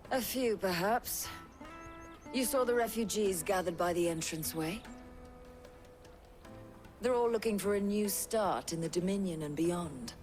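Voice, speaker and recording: An actress playing a brisk, frank female fishmonger in a fantasy video game, speaking plainly and colloquially. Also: mid-range voice, darkish tone, faint music behind, natural voice. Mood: frank, resigned. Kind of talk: dramatic video game acting.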